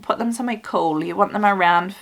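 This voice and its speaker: A woman with a New Zealand accent and a croaky voice, chatting through a homestead how-to with frequent ums. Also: medium pitch, a little fast. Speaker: homestead video host. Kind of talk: casual tutorial. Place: small music studio indoors.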